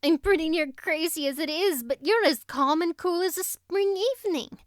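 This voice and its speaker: A woman voicing an excitable, gushing teenage girl, a best friend in a storybook reading. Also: extremely high pitch, moderate pace, no echo, clean studio sound, voice pushed up. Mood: excited, gushing. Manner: gushing, exclamatory.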